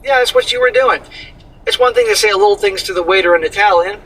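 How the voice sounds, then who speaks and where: A man with a high, bright voice talks bluntly and swears freely as he reacts. His tone has a husky edge. He sits a little back from the microphone. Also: quick pace, recorded from a distance, low-quality recording. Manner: blunt, profane, scolding. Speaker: commentary co-host reading an article. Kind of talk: casual reaction commentary.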